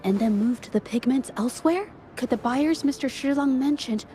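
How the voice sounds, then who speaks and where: A woman playing a polite, thoughtful young female investigator in a video game, speaking in a measured, gentle, analytical way. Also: high-pitched, slight background noise, close to an everyday voice. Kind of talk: video game story voice acting. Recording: music under the voice.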